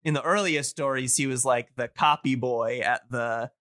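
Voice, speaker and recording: A man with a bright, smooth voice hosts a casual podcast. He explains things like an enthusiast, wandering and nerdy in his phrasing. The sound is very dry, with no room around him. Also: medium pitch, normal speed, dry recording. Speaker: podcast host.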